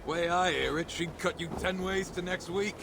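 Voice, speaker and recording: A man's voice: high-pitched, fairly even intonation, moderate pace, creaky, very noisy. An actor playing an indifferent, gossiping male mercenary guard in a video game, talking casually and offhand. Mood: dismissive. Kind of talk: video game background dialogue. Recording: loud outdoor game ambience over voice.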